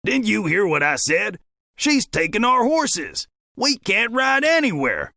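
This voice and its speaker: A man's voice: sits high, huge pitch swings, measured pace, vocal fry, bright, forceful tone, no room sound, clean studio sound, pitched above the performer's own voice, heavily acted. A man voicing a bearded Wild West sheriff, exasperated and emphatic.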